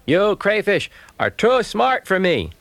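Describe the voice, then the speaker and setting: Man voicing a raccoon trickster, hungry, crafty, loud, pitched high above his own voice. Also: normal speed, dry recording. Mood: pleading loudly. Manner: yelps and loud pleading.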